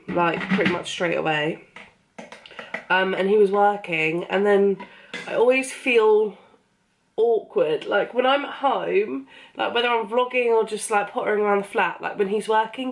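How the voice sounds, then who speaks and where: A young woman, chatting casually to camera at home, rambling with lots of ums and likes, still a bit sniffly. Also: medium pitch. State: a bit sniffly after losing her voice. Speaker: vlogger. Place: bedroom and small kitchen at home. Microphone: camera held close at arm's length.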